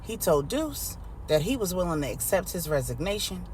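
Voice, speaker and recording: Low-voiced, animated woman storyteller. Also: normal speed, a little hiss or hum. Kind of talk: dramatic bedtime-story narration for grown-ups.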